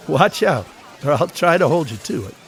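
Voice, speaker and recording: A man's voice: a little high, big pitch swings, moderate pace. A man acting in an audio drama as a breezy, broke beach sketch artist with wry, casual banter. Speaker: actor.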